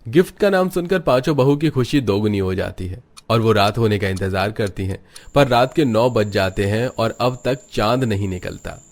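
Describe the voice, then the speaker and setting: A male narrator with a fairly low voice. He reads scripted storytelling for a cartoon family tale. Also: normal speed.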